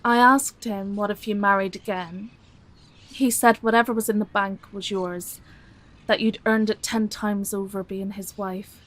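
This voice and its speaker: An actress with a British accent playing a caring, frank middle-aged single daughter in an intimate audio drama. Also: female voice, mid-range voice, fairly even intonation, a little slow, fairly bright tone, lightly acted, poor audio. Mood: gentle, practical.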